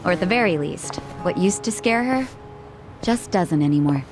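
A woman plays a self-assured young game heroine with a lowish voice. She sounds calm, reflective and quietly bold over clearly audible guitar music. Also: normal speed, lightly acted. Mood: reflective resolve. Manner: reflective, confident. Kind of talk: naturalistic video game voice acting.

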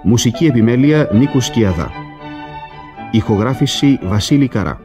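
A man's voice: mid-range voice, expressive. A man formally reading cast and credits as a scripted radio announcement. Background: violin music.

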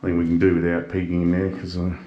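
A man's voice: completely flat delivery, fast talker. Chatty man, lo-fi action-cam audio. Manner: chatty, thinks aloud, swears at other drivers. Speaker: travel vlogger. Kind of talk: casual walking and driving vlog. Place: abandoned building, then inside a car. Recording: dull lo-fi camera audio with loud engine rumble.

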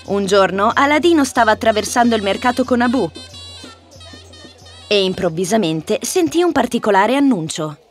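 A woman's voice: fairly high pitch, lively intonation, moderate pace, faint music behind. A woman storyteller giving scripted narration of a children's fairy tale.

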